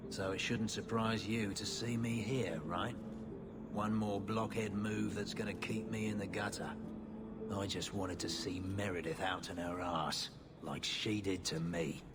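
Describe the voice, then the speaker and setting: Disgraced ex-knight for a game, played by a man: deepish, weary, wry and defeated, clear ambient noise behind. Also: steady tone, measured pace, lightly acted. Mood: sardonic, weary. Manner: sardonic, resigned. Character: bitter, world-weary disgraced male ex-knight. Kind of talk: dramatic video game acting.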